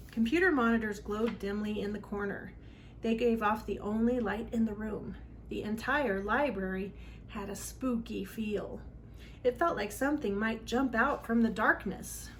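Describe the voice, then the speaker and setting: A woman's voice: a little high, a little hiss or hum. A woman hosting a children's read-aloud, reading a storybook expressively with dramatic emphasis.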